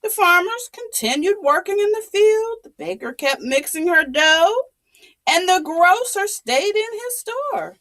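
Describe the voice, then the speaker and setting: A woman storyteller with a high, very animated voice speaks to young children. She takes her time, dramatizing the tale and pausing to explain or ask questions. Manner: dramatic, explains and repeats for small listeners, asks questions.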